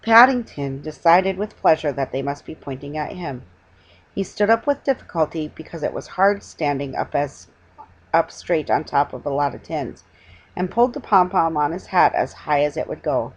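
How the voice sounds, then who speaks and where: Middle-aged female storybook reader, lowish husky voice, halting delivery, dull webcam sound. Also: lively intonation. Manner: halting reading with stumbles and self-corrections. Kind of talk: storybook read-aloud. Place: small living room at home, indoors.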